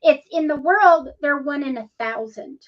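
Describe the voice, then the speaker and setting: Female co-host, rambling and chatty, slightly high voice, muffled sound. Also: moderate pace. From Ohio, USA. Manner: chatty, rambling, with many ums. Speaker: podcast co-host. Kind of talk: casual interview conversation.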